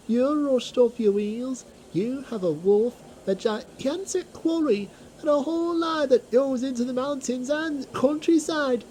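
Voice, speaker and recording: A woman's voice: high voice, unhurried, few pauses, mellow, a little hiss or hum, pitched above the performer's own voice, poor audio. A woman voicing a vain, haughty male steam engine in a children's audio drama, complaining and exclaiming. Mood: condescending.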